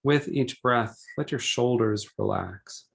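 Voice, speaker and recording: A man leading a guided meditation, speaking gently and deliberately in short phrases with pauses between them. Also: mid-range voice, creaky, husky.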